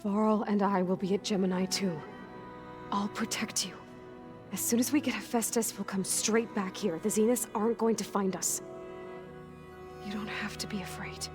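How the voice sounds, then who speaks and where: A woman's voice: mid-range voice, fairly even intonation, moderate pace, over music, natural voice. A woman voicing a determined young female warrior in a video game, wry and plain-spoken. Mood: earnest, urging. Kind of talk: scripted video game dialogue.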